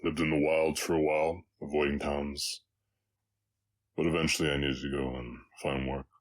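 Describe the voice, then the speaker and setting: An extremely low-voiced orc cowboy, acted by a man, talks with a slight Southern drawl. He is weary and gentle, pausing often as he reflects, as if confiding in one listener in an intimate audio drama. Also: little pitch movement. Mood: reflective, subdued. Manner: halting, reflective, soft-hearted, pausing often.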